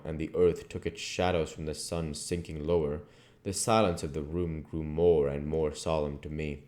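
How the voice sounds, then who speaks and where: A man reading a classic novel aloud as narrator, in a measured, plain way. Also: extremely low pitch, a little mellow. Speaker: audiobook reader and channel host.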